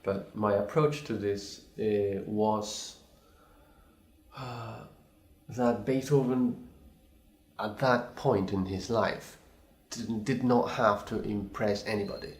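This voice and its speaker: A man's voice: on the low side, steady tone, slow pace, pauses often. A man, a pianist speaking English as a second language, giving a thoughtful, hesitant reply full of restarts and apologies. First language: not English. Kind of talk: recorded reply to a video challenge. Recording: echoey room.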